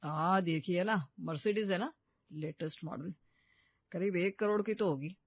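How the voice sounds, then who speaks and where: A woman's voice: fairly low pitch, moderate pace, no room sound, muffled recording. A woman as an offhand, defensive husband. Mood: admiring, teasing. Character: casual, defensive husband admiring the neighbour's glamorous wife.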